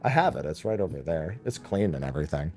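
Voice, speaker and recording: A man's voice: extremely low pitch, very animated, quick pace, a little mellow, soft background music. A man hosting a casual gaming podcast stream, laughing often and swearing casually.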